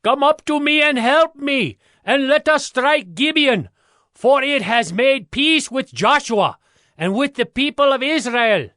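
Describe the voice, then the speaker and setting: An alarmed, warlike king commands urgently in an extremely high, sharp voice. The sound is dry and close, in the manner of biblical audio drama. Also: expressive, measured pace, no room sound, heavily acted. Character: alarmed Amorite king rallying his allies to war. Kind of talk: dramatized audio Bible acting.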